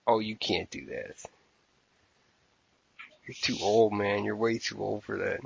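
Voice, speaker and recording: A man's voice: lowish voice, steady tone, measured pace, creaky, slightly rough. A man co-hosting a podcast, teasing his partner bluntly and swearing in casual banter. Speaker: podcast co-host. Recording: dry, dull lo-fi podcast audio.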